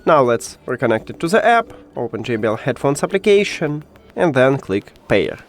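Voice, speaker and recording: A man's voice: mid-range voice, big pitch swings, moderate pace, soft background music. A man who speaks English as a second language, presenting a step-by-step tech tutorial and addressing the viewer directly in an instructional way. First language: not English. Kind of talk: step-by-step how-to narration.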